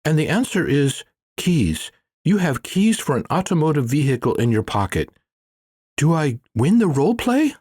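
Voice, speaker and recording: A man's voice: on the high side, very animated, normal speed, darkish tone, breathy edge, no echo, studio quality, pitched above the performer's own voice. A man voicing an earnest, hopeful Indian man who speaks in stiff, over-formal sentences, as an audiobook character. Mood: hopeful, eager.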